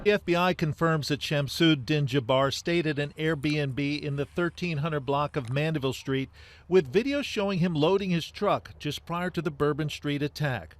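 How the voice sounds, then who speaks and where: Male TV field reporter, slightly high voice, live news delivery, faint outdoor street noise. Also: normal speed.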